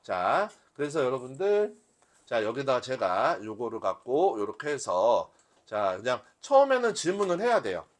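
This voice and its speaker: A man's voice: medium pitch, sing-song, normal speed. A man giving a live demonstration as a workshop instructor, talking conversationally and often posing questions that he answers himself. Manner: conversational teaching, asks and answers own questions. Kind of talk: live software demonstration to a class.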